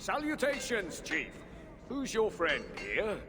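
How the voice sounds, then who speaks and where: A man's voice: sits high, huge pitch swings, slow, music in the background, exaggerated voice. An actor playing a courteous, wry male dwarf craftsman with florid speech in a video game. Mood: admiring, wry. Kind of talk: video game cutscene acting. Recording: long reverb.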